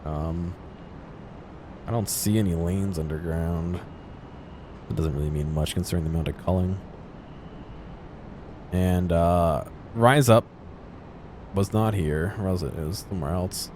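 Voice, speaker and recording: Casting: male game commentator, low register, casual and musing, unscripted, some background noise. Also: steady tone, measured pace, pauses often. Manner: musing, thinking aloud. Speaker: gameplay video host.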